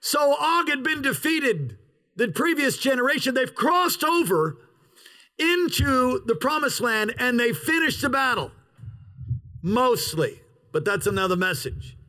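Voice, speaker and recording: A pastor preaching an outdoor sermon in a parking lot, with an opening prayer and emphatic, drawn-out pauses. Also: male voice, extremely high pitch, sing-song, sharp tone, low-quality recording.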